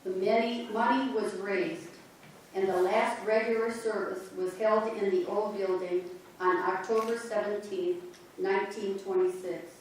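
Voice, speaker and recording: A woman's voice: medium pitch, measured pace, distant mic, slight background noise. Woman narrating in an echoey church. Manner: steady storytelling. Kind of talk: reader's theater narration. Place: church sanctuary.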